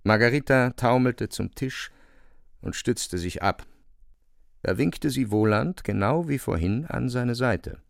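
A man's voice: mid-range voice, lively intonation, slow, vocal fry, no echo, studio quality. A man narrating a literary radio play from a script as its storyteller.

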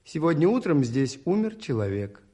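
A mild, shrewd priest detective in a radio play. His voice is fairly high, with lively intonation. He speaks politely and calmly, in a measured, enigmatic way. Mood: grave. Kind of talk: radio drama acting.

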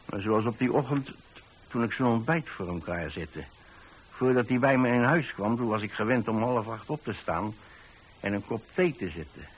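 A man's voice: medium pitch, slightly rough, rough recording. An actor playing a chatty, easily flustered old pensioner who tells his story in a hesitant, rambling way, repeating words. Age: older, retired. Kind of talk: radio monologue storytelling. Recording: hissy old radio recording.

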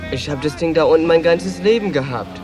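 Man playing a high-pitched sassy transgender streetwalker. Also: fairly quick, music in the background, natural voice. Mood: candid, brazen. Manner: flirtatious, candid, wistful. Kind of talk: 1980s German radio drama acting.

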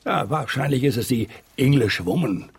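An actor playing a gruff, pompous middle-aged newspaper editor in a radio drama, raspy and easily exasperated. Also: male voice, mid-range voice, expressive, moderate pace. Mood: scornful. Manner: exasperated, pompous, opinionated, stammering in thought. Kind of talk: German radio drama acting.

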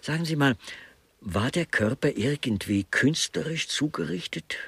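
An actor in a German radio crime comedy, playing a dry, inquisitive artist who explains things pedantically. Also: male voice, a little high, lively intonation, a little slow, creaky, a bit bright, slightly rough, exaggerated voice. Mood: curious, matter-of-fact. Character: dry, inquisitive male artist, knowing about art.

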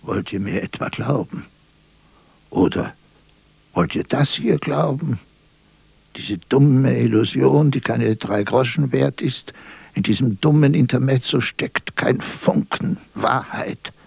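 An actor playing a melancholy, self-doubting stage player in a radio drama, speaking in a declamatory, poetic way that moves between murmur and outcry. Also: male voice, mid-range voice, takes it slowly, vocal fry, a little mellow, husky, no room sound, heavily acted. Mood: bitter, agitated. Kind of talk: theatrical radio drama monologue.

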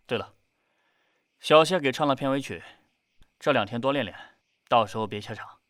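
A man playing a no-nonsense idol group manager, brisk and dryly scolding as he hands out instructions. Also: mid-range voice, fairly bright tone, no room sound, studio quality, close to an everyday voice. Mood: blunt, businesslike.

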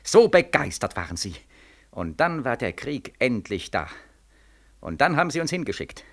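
A young soldier back from war, bitter and accusing. His voice is forceful and swings widely in pitch as he declaims with biting sarcasm. It is impassioned vintage radio drama, repeating its accusations insistently. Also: big pitch swings, moderate pace. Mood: despairing, bitter. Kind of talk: 1940s radio play acting. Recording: vintage 1940s radio recording.

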